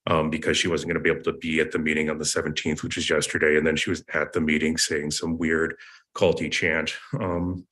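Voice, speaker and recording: A man with a hoarse, deep voice that still comes out forceful and sharp, speaking at a meeting podium. He rambles through a sarcastic story with fillers and restarts. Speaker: public commenter. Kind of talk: public comment at a meeting. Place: county committee meeting room. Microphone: podium microphone.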